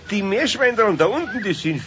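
An actor playing a kindly, flustered older master carpenter in a children's radio play, with a Bavarian accent. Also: male voice, high voice, animated, takes it slowly. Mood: coaxing, jovial. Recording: dull, noisy 1970s radio play tape.

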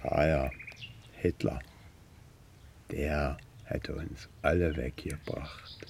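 Very deep gravelly gruff man. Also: steady tone, drawn-out words, a little mellow, a little hiss or hum, full character voice. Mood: gruff, sardonic. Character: gruff, blunt, sardonic man. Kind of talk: German radio play acting.

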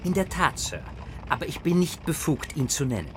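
An actor playing a coolly polite armed henchman in a radio drama, formal and quietly menacing. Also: a little high, very animated, a little fast, noisy background. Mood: polite menace.

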